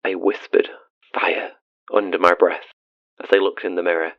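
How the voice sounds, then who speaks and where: A man's voice: deep voice, flat delivery, normal speed, no echo, dull audio, lightly acted. A man playing an awkward, earnest, haunted radio caller making a hesitant confession in an audio drama. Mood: haunted, fearful. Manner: hesitant, self-deprecating, earnest.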